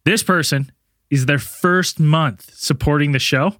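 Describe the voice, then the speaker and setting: A man with a fairly high voice co-hosts a podcast in relaxed, rambling chat, laughing easily as he goes. The sound is dry and close, with no room around it. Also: a little slow, no room sound. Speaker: podcast co-host. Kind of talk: casual chat.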